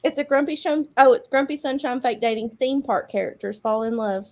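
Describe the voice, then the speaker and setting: A woman's voice: high-pitched, steady tone, fairly quick. A woman chatting casually about books as part of a livestream. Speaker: book-chat livestream participant. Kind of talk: casual chat.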